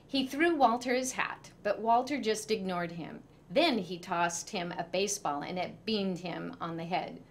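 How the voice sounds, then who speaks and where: A woman storyteller reading a children's picture book aloud in an expressive way. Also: mid-range voice, unhurried, hardly any pauses.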